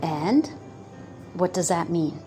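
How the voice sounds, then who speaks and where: A woman in her fifties giving a slow, earnest motivational talk about manifesting, pausing often between phrases. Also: on the low side, expressive, dark tone, faint music behind. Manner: slow, pausing, earnest, quotes doubters in put-on voices. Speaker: manifestation coach.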